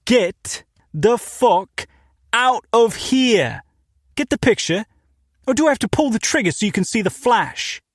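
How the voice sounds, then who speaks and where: A man voicing a hostile, armed male store owner with a British accent, talking loudly and threateningly. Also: very high-pitched, lively intonation, measured pace, no room sound, studio quality, voice pushed up, heavily acted. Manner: loud, threatening. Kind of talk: audiobook character voice.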